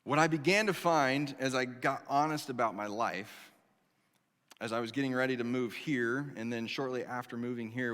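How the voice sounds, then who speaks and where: Bright-voiced man preaching in a reverberant hall. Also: mid-range voice. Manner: animated, conversational storytelling with acted asides. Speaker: pastor. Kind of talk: sermon. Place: church sanctuary.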